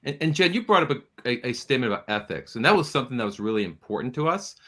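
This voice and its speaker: A man talks as a guest in a conversational panel interview. His pitch is medium and very animated, and he explains his points earnestly, stressing key words. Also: rapid-fire. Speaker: studio producer, interview guest.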